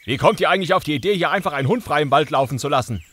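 An actor playing a grumpy, angry forester who scolds children sternly in an audio drama. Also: male voice, on the high side, expressive, very fast, a bit bright, breathy edge. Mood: angry, scolding.

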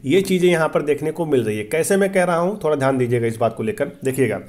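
Fast-talking man explaining. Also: on the high side, lively intonation, a little mellow. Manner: repetitive, emphatic explaining with frequent check-in tags. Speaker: host of exam-update channel. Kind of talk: explainer addressed to students.

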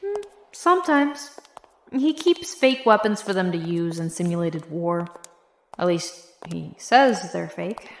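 A woman's voice: on the low side, expressive, slow pace. A woman playing a candid, chatty young graduate student in an audio drama. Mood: explaining. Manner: conversational, candid.

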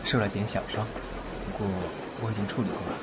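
A man playing a calm, knowing godfather who gives unhurried advice in an audio drama. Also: lowish voice, natural voice. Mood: calm warning. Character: calm, knowing godfather, a ghost-fighting healer. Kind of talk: Chinese audio drama acting. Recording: muffled audio with loud train noise.

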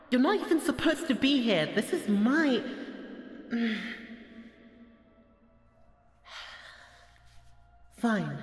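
A woman voicing a haughty, menacing, easily exasperated goddess in an ASMR audio roleplay, speaking with slow condescension. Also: a little high, echoey room, big-room sound, pitched above the performer's own voice. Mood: annoyed, scornful. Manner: slow, imperious, condescending, with heavy sighs.

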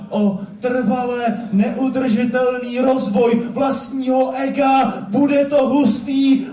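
A man performs slam poetry with a very high-pitched, theatrical delivery. He declaims and repeats words for emphasis. The sound is strongly echoey and distant, as from a stage in a club. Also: mellow. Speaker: slam poet. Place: club stage at a live competition.

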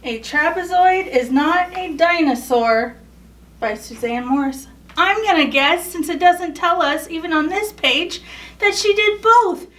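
A woman's voice: extremely high pitch, a little slow, fairly bright tone, far from the mic. A young woman reading a children's picture book aloud, explaining as she goes and asking questions she then answers. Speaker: storytime reader. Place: indoors in front of a photo backdrop.

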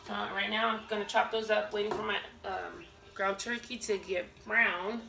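A woman's voice: medium pitch, level tone, normal speed, creaky, noisy background. A woman in her thirties chatting casually while she cooks at home, rambling with lots of fillers and restarts. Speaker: cooking vlogger. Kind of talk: casual storytelling while cooking. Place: home kitchen, indoors. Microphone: camera set across the room. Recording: roomy kitchen, distant camera, pan clatter.

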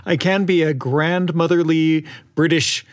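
Candid young man with a higher-pitched voice. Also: steady tone, measured pace, dry recording, clean studio sound. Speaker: radio show panelist. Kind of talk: casual chat.

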